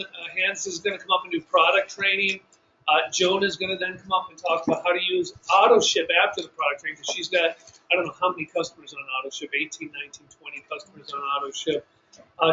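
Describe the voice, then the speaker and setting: A man with a high, bright and forceful voice holds forth in a hotel meeting room, his naturally loud delivery carrying across the room without a working mic. He runs through the plan briskly, with plenty of filler words. The recording sounds dull and lo-fi, with faint background noise. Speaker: business training host. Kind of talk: live seminar talk to a room. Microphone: room recording, mic not working.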